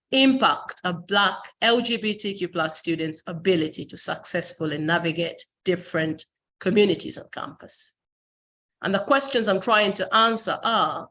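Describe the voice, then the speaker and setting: A woman from Uganda whose first language is Luganda, giving an academic presentation over a video call, polite and pausing often with fillers. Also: lowish voice, a bit bright. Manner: polite, with frequent fillers and pauses. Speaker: conference presenter.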